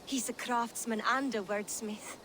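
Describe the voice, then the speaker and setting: An actress playing a gentle, admiring young Viking woman in a video game cutscene. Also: fairly high pitch, fairly even intonation, a little slow, a little hiss or hum, rough recording. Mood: admiring, wistful.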